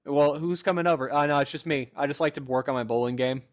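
Chatty young man, slightly high voice. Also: quick pace. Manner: rambling, self-interrupting, joking. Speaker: podcast co-host. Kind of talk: casual chat among friends.

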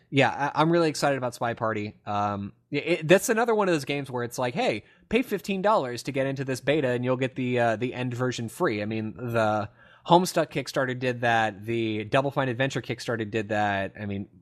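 Casual rambling man hosting a podcast. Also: mid-range voice. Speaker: podcast host. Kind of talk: casual gaming podcast chat.

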